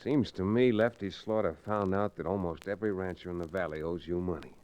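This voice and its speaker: A man's voice: low-pitched, brisk, a little hiss or hum, natural voice. A man playing a cool, wry frontier hero with a folksy drawl in an old-time Western radio drama. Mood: serious, calm. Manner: calm, dryly taunting, folksy.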